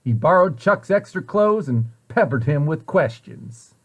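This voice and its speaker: Higher-voiced man reading a children's story. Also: expressive, measured pace. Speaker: storybook reader, site director at a children's center. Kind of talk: expressive children's read-aloud storytelling.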